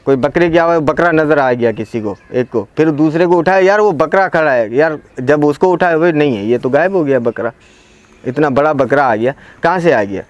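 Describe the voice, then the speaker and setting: A man's voice: fairly high pitch, normal speed, highs cut off. A man telling an interviewer about strange happenings on his land, talking hesitantly with many fillers and restarts, recorded outdoors by a film crew. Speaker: local farmer interviewee. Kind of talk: recounting events in casual conversation. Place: outdoors by a walled rural plot. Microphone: field recording by a camera crew.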